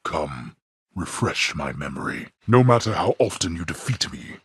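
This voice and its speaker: A man playing a stern, imperious god of the underworld and disapproving father in a video game, with haughty, formal, deliberate delivery and a gravelly, husky voice. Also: low-pitched, big pitch swings, a bit bright, no echo, studio quality, full character voice. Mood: stern menace. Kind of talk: theatrical video game voice acting.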